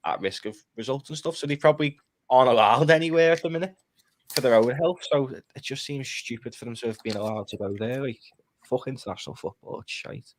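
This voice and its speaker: A man's voice: medium pitch, fast, slight background noise. A man, chatting casually and bluntly about football on a live fan stream. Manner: blunt, opinionated, swears. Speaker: podcast panelist.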